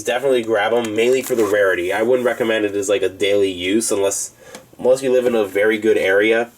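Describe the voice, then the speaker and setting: A man giving a casual, rambling tour of a hobby collection, explaining things informally as he goes. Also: lowish voice. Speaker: hobbyist presenting a radio collection.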